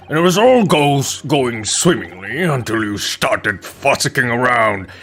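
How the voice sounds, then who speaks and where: A man's voice: mid-range voice, expressive, takes it slowly, a bit bright, soft background music, heavily acted. A man voicing a resentful, cocky banker on the witness stand in a courtroom game, with a blunt, pointed manner.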